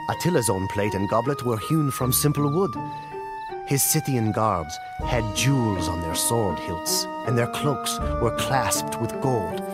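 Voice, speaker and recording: A man playing a solemn chronicler in a game cutscene, telling a tale of conquest in a grave, measured, dramatic storytelling style. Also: on the low side. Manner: grave, measured, deliberate pauses. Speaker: narrator of a game campaign cutscene. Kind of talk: dramatic scripted storytelling narration. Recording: music behind the voice. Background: music.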